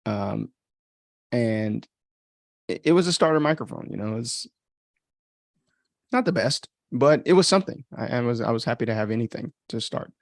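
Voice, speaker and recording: A man's voice: mid-range voice, lively intonation, moderate pace, pauses often, dry recording, clean studio sound. An American man giving casual, off-the-cuff commentary as a podcast host, chatty and informal with reflective asides.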